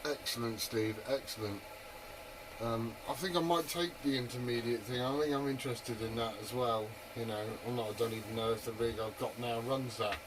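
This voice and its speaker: A man's voice: mid-range voice, steady tone, a little fast. A man chatting informally over CB radio, chatty and keen with his questions. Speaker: CB radio operator. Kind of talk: casual radio chat. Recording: noisy hissy CB radio transmission.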